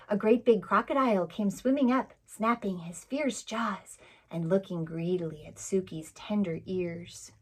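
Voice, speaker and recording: A woman reading a children's picture book aloud, gentle and expressive, now and then asking the listener a question. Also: mid-range voice. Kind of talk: read-aloud storytelling.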